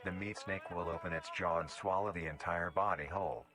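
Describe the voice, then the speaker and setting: A man reading scripted documentary-style narration in a formal, measured, explanatory manner. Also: sits low, fairly even intonation. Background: background music.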